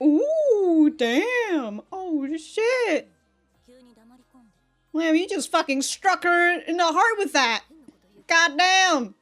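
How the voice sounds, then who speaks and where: A woman giving casual, excitable reaction commentary as host, swearing freely and often breaking into laughter. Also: very high-pitched, extremely slow, pauses often, soft background music.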